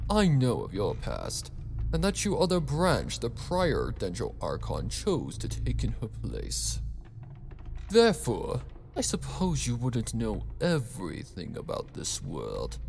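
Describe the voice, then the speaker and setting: A man voicing a calm, gloating villain doctor in a story reading, with a smug, theatrical, condescending manner. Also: mid-range voice, gravelly, exaggerated voice.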